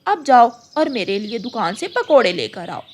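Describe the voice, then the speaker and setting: A woman's voice: fairly high pitch, very animated, moderate pace, darkish tone, slight background noise. A woman voicing a bossy, greedy female crow employer in a cartoon, haughty and threatening. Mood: angry, threatening. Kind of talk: Hindi moral cartoon story dialogue.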